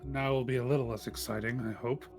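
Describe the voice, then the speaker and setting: A man's voice: mid-range voice, fairly even intonation, fast talker. Tentative man playing a tabletop game. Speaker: player in a tabletop roleplaying game. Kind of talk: casual game-table chat. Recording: music playing behind the voice.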